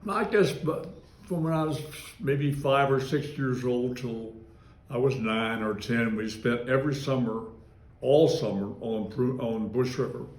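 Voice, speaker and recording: An elderly man in his nineties recalls his youth at his own unhurried pace, drifting off on side stories and chuckling now and then. His voice sits at an ordinary middle pitch. The room around him is noticeably echoey. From Maryland, US. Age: 90s. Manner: rambling, digressive, chuckles while recalling. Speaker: interviewee. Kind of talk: oral history reminiscence.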